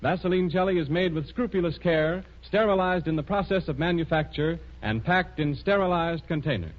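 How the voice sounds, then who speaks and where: A man working as a 1930s radio announcer, reading scripted sponsor copy in a formal, measured way. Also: on the high side. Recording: muffled 1930s radio recording.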